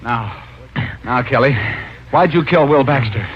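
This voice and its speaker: An actor playing a breezy, wry private detective who both talks and narrates in a 1950s radio mystery. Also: male voice, mid-range voice, animated, unhurried, noisy background, lightly acted. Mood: tough. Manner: wry, casual, hesitating with uh. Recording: muffled old radio recording with music.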